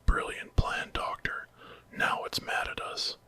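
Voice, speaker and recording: A man's voice: takes it slowly, close to an everyday voice. A man voicing a wary, sardonic young woman companion in a nervous, dry whisper for a horror story. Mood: wary, sarcastic.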